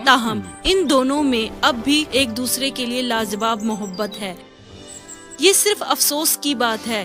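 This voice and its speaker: Woman telling a fairy tale, scripted, highish voice, music playing loud behind her. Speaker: storyteller.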